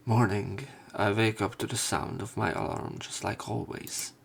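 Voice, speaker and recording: A man's voice: on the low side, unhurried, gravelly, a little hiss or hum. A man hosting a let's play, narrating a visual novel aloud and adding casual commentary.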